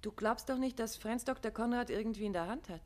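A woman playing a detective's curious, shrewd and affectionate wife in a 1950s German radio play, conversational and gently probing. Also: a little high, quick pace, close to an everyday voice.

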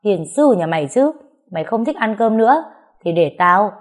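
A woman's voice: mid-range voice, expressive, fairly quick, close to an everyday voice. A woman narrator voicing a burly, thuggish female bully in a story, taunting and threatening with barked questions. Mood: menacing, taunting.

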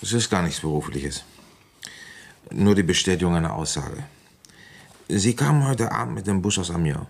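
An actor playing a dogged village police inspector in a German radio play, questioning in a terse, methodical way. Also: male voice, low voice, animated, moderate pace, creaky, long pauses, husky, exaggerated voice. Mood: probing.

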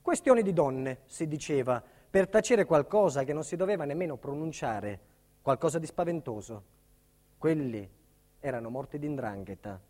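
Earnest builder, higher-pitched, reverberant. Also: male voice, expressive, a little mellow. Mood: grave, indignant. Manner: earnest, deliberate, emphatic pauses. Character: proud, burdened Calabrian builder telling his life story. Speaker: lead actor and narrator. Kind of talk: theatrical monologue, dramatic storytelling. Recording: long hall reverb.

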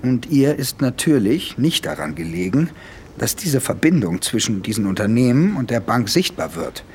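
A man's voice: medium pitch, big pitch swings, moderate pace, a little mellow, slight background noise. An actor playing a male police investigator in a radio drama, explaining things methodically. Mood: explanatory, assured.

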